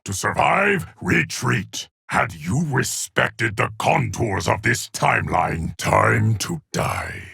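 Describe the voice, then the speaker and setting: A man voicing an ancient, immortal guardian of time in a video game, solemn and menacing, with a gravelly, husky voice and portentous delivery. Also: fairly low pitch, bright, forceful tone, exaggerated voice. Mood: menacing, commanding. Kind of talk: video game character voice lines.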